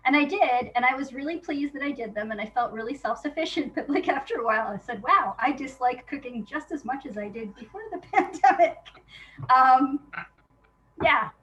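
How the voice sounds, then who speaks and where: A woman's voice: sits high, expressive, normal speed, fairly bright tone, highs cut off. A woman talking casually in an interview, chatty and self-deprecating in an informal way. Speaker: playwright being interviewed.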